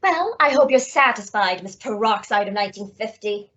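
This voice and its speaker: A woman's voice: medium pitch, animated, normal speed, a bit bright. A woman playing a haughty, scheming society snob with an icy, condescending manner in a radio comedy. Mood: indignant. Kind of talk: 1950s radio comedy acting. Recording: dull old-time radio recording.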